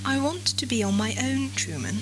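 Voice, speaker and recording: A woman's voice: high-pitched, lively intonation, brisk, soft background music, rough recording. A woman playing an irritated, aloof young space traveller in an audio drama, curt and exasperated.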